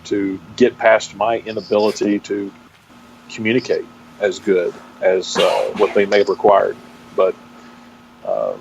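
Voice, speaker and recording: Halting Texan man on dull audio. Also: on the low side, gravelly, a little mellow. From Texas, United States. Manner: halting, searching for words with repeated false starts. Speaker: interview guest, pastor and seminary teacher. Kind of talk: reflective interview talk. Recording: dull, band-limited audio with faint noise.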